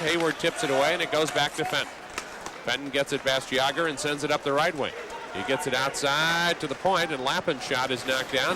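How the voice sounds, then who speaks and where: A man doing live radio play-by-play of a hockey game, wordy and wry, slipping conversational asides between the calls. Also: a little high. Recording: live arena broadcast with background noise.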